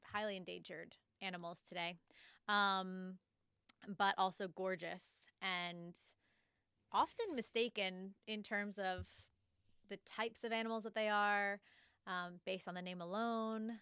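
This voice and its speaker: Female podcast host, young, bright and crisp voice, bubbly chatty delivery. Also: mid-range voice, steady tone, measured pace, no echo, clean studio sound. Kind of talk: casual podcast chat.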